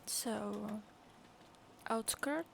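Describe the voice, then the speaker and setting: A woman's voice: mid-range voice, very slow, vocal fry, slight background noise. A woman streaming a game live, making playful, mocking asides over the scenes.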